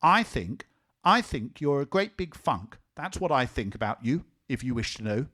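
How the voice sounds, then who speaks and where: A man's voice: mid-range voice, very animated, normal speed, a bit bright. A man voicing a stubborn, sulky little girl who speaks sharply and scornfully. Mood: scornful, defiant.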